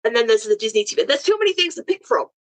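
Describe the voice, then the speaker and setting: A woman's voice: fairly high pitch, sing-song, rapid-fire. A woman co-hosting a podcast in a casual, wry and joking manner. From Britain. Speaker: podcast co-host. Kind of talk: casual chat.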